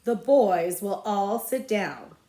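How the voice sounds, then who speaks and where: A woman voicing a stern, threatening female elementary teacher in a children's story, sharp and commanding, in a roomy space. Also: medium pitch, lively intonation, slow.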